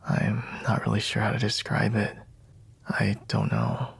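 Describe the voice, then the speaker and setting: Man playing a grudgingly soft mercenary bodyguard, ASMR roleplay, husky and breathy, low-pitched, halting delivery. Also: very animated, heavily acted. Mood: quiet awe. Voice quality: breathy, husky, gravelly near-whisper. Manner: hesitant, halting, grudgingly soft, frequent sighs. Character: gruff, flustered young male mercenary royal bodyguard, secretly tender. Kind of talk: intimate ASMR roleplay acting.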